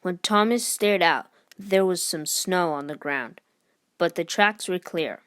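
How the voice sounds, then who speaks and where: A woman reads a children's story aloud in an expressive scripted narration style. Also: lowish voice, unhurried. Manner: expressive, lifts voice for character dialogue. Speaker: storybook reader.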